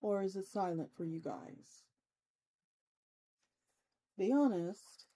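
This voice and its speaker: Mellow, slow-talking woman streamer. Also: mid-range voice, animated, slight background noise. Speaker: VTuber streamer host. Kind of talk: casual livestream chat with viewers. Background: soft ambient music.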